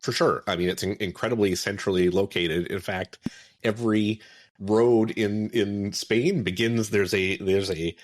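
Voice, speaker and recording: A man's voice: fairly low pitch, steady tone, unhurried, slight background noise. A middle-aged man from Alberta, a podcast guest chatting casually about travel, with many restarts and fillers. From Alberta, Canada. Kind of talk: casual interview chat.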